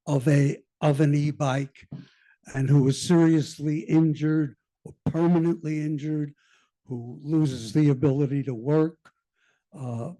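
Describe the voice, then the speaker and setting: A man presenting a resolution at a community board meeting, explaining it slowly and deliberately. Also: on the high side, warm tone. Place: meeting hall.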